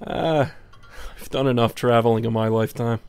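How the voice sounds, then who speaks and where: Cynical animated handler, male actor, mid-pitched, firm, blunt and dismissive. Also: moderate pace, creaky, smooth, natural voice. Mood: dry. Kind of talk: animated short film dialogue.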